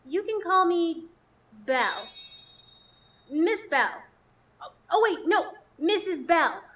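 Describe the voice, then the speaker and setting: A woman's voice: extremely high pitch, very animated, takes it slowly, a bit bright, slight background noise, full character voice. A woman playing a flighty, ageless fairy in a radio drama, teasing and childish in manner. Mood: coy, evasive. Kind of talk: live radio drama acting. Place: performance space in front of a live audience.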